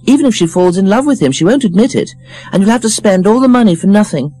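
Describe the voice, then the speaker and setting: Man doing a fretful, high-voiced meek young woman. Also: animated, quick pace, mellow, no echo, faint music behind. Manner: plaintive, fussy. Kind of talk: audiobook character voice.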